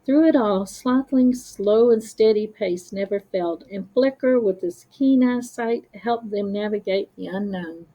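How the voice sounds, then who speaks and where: A woman narrating a children's story as a read-aloud, careful and expressive. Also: mid-range voice, unhurried, few pauses, low-quality recording.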